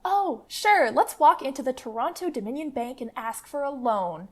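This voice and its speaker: A woman's voice: high-pitched, animated, moderate pace. A woman playing a fiery, sarcastic female revolutionary in a radio play, with a mocking, indignant delivery. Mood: sarcastic, angry.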